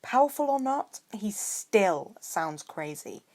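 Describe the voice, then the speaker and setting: A woman's voice: medium pitch, very animated, takes it slowly, darkish tone, pitched above the performer's own voice. A woman voicing a stubborn, sarcastic schoolboy wizard with dry retorts in an audiobook-style story. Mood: defensive, casual.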